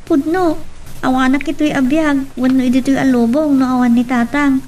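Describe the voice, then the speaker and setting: A woman's voice: high-pitched, fairly even intonation, moderate pace, darkish tone, lightly acted. A woman playing a hurt, resentful young grandchild in an Ilocano audio drama, with a Filipino accent. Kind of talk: Ilocano radio-style drama acting. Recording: distant mic with background music.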